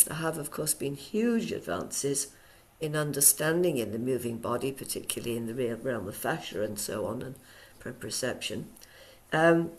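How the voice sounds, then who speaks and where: A woman with a low, croaky voice, hoarse after a cold. She teaches a workshop over a video call, chatting along with plenty of ums. She sounds middle-aged. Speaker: Alexander Technique teacher.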